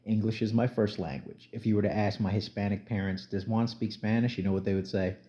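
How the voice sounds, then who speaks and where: Middle-aged New man, low voice, blunt vlog commentary; dull lo-fi sound. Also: steady tone, fairly quick, mellow. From New Jersey, USA. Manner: blunt, conversational, emphatic rants with plain talk. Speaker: YouTube commentator. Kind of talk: casual spoken commentary vlog.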